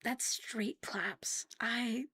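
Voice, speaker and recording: A woman chatting casually to viewers on an ASMR livestream, soft and breathy with a playful, cutesy manner, often dropping to a whisper close to the mic. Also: on the high side, steady tone, drawn-out words, a little hiss or hum. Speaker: ASMR live streamer hosting in a virtual world. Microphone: close, sensitive streaming microphone.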